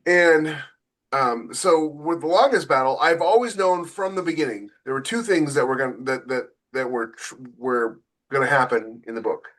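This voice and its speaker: A man chatting casually as a podcast co-host and author about his own work, haltingly thinking aloud and repeating phrases as he goes. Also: medium pitch, a bit bright, low-quality recording.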